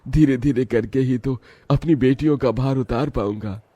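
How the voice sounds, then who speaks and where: A man's voice: medium pitch, normal speed, warm tone, slightly rough, dry recording, lo-fi sound, close to an everyday voice. A man voicing a poor, pleading village father. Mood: hopeful. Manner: pleading, earnest. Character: poor, anxious, devoted middle-aged village father. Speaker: father of five daughters. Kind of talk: dubbed cartoon drama.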